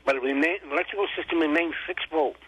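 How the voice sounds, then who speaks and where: A man's voice: on the high side, fast talker, husky, no echo, lo-fi sound. A man co-hosting a radio car show, talking about old cars in a folksy, informal way with loose grammar. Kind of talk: casual on-air car chat.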